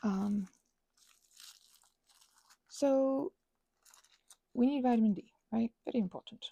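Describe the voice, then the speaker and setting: A woman's voice: a little high, takes it slowly, creaky, dark tone, dry recording, highs cut off. A woman chatting casually while she does crafts, whimsically interrupting herself and talking to herself and to objects. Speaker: craft and ASMR video creator.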